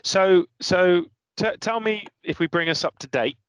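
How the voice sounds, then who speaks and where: A man's voice: high-pitched, unhurried, dry recording, poor audio. A man hosting an interview, asking questions and repeating words as he frames them. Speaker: podcast host, interviewer.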